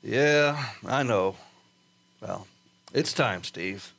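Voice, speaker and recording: A man's voice: fairly low pitch, animated, drawn-out words, gravelly, fairly bright tone, full character voice. A man playing an anxious flight director in an old-time science fiction radio drama, hesitant and prone to stammering. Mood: concerned. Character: anxious male test flight director in rocket program.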